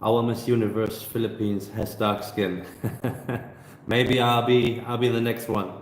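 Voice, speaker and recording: A man in his thirties, a travel vlogger chatting casually on a live stream Q&A, relaxed and hesitant, with many fillers and restarts, filming on his phone in a busy hostel co-working space. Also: medium pitch, a little slow, echoey room, slight background noise. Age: 30s. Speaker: travel vlogger answering viewer questions. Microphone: phone camera.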